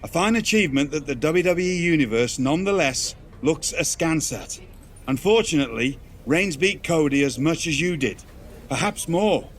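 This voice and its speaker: A man's voice: on the high side, expressive, close to an everyday voice. A man playing a formal, eloquent wrestling authority figure in a video game, an encouraging mentor. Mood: grave, sympathetic. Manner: formal, eloquent, deliberate wording. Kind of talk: dramatic video game dialogue. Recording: faint background noise.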